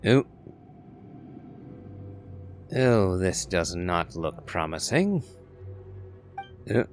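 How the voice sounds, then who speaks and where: Deep-voiced, unhurried male gamer. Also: big pitch swings. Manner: musing, measured, wry. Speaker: gamer playing and voicing reactions. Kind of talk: low-key playthrough commentary. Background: game music.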